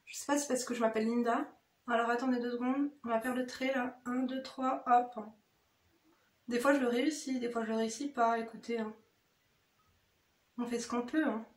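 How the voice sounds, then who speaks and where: High-voiced woman chatting casually, echoey room. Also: steady tone, a little fast, long pauses. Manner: familiar, slangy, addresses viewers directly with frequent "hein" tags. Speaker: beauty vlogger. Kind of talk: casual chatty get-ready-with-me makeup chat. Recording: roomy, echoey indoor sound.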